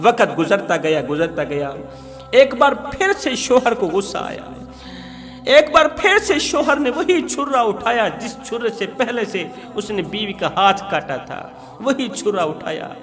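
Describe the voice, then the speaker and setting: High-pitched man preaching in heavy reverb. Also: bright, forceful tone. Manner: dramatic, pleading, repeats phrases for emphasis. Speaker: Islamic preacher and storyteller. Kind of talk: impassioned Urdu sermon storytelling. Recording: long reverb, music behind.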